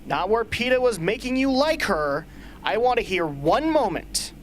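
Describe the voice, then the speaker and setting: A woman playing a brisk, sardonic rebel strategist in an amateur radio drama, speaking emphatically. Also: fairly high pitch, very animated, slight background noise. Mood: brisk, commanding.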